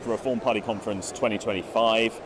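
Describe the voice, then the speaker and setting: Brisk male reporter, outdoors. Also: fairly low pitch, steady tone. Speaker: newspaper reporter. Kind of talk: news report to camera. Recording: outdoor street noise.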